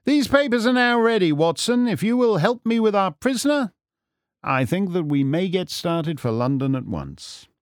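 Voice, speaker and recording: A man voicing a suave, self-assured gentleman detective in an audiobook, urbane, measured, wry and formal. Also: sits high, sing-song, no room sound, clean studio sound, voice pushed up. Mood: calm, triumphant. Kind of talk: audiobook character acting.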